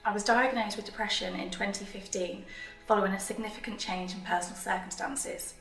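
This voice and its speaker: A woman's voice: mid-range voice, fairly even intonation, a little fast, fairly bright tone, faint music behind. A woman in her thirties giving a composed scripted testimonial. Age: 30s. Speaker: employee sharing her story. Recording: distant roomy video recording.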